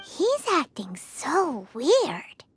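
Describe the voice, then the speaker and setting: Game mascot fairy: extremely high, squeaky, childlike, slow-paced, blunt and cutesy. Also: female voice, huge pitch swings, slight background noise, exaggerated voice. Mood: puzzled. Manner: third-person self-reference, blunt, cutesy. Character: tiny childlike female fairy companion, blunt and cheeky. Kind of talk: video game character acting.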